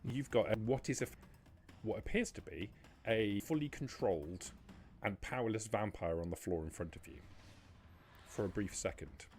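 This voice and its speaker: A man working as a game master, narrating a tabletop roleplaying game in a descriptive, dramatic way with deliberate pauses. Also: lowish voice, a little mellow. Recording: noticeable background noise.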